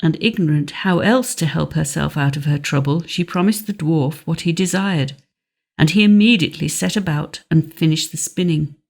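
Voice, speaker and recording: A woman's voice: low voice, normal speed, vocal fry, a little mellow. A woman reading scripted narration as an audiobook narrator.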